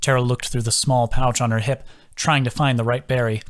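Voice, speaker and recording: A man's voice: medium pitch, level tone, a little fast. A man reading scripted fantasy fiction as an audiobook narrator. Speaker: audiobook narrator, author reading own book.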